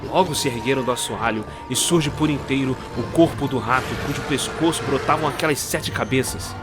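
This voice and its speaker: A man narrates a dark Christmas fairy tale in a slow, dramatic way. A clear music bed plays under his voice. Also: mid-range voice.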